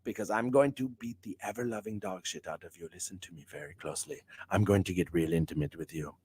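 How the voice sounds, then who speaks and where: A man doing a low, menacing wrestler threat. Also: quick pace, slightly rough, no room sound. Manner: low, hushed, intimate threats.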